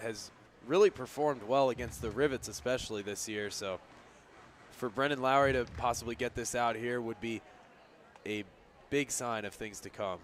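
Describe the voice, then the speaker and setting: A man providing conversational color commentary on a radio baseball broadcast, explaining things in a measured way. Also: mid-range voice. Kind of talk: conversational sports analysis on radio. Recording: faint outdoor ambience and traffic-like noise.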